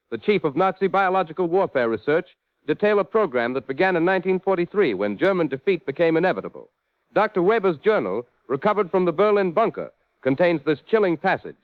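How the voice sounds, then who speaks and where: A man's voice: high-pitched, no room sound. A man playing a formal, theatrical 1940s radio reporter. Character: dramatic 1940s radio reporter reading captured papers, adult man. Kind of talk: 1940s radio news acting. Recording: tinny narrow-band old radio.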